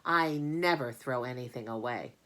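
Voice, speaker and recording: A woman's voice: extremely low pitch, normal speed, fairly bright tone. A surly male rat from a barnyard storybook, voiced by a woman. He talks in a boastful, self-satisfied way, grumpy and sure of himself. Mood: smug.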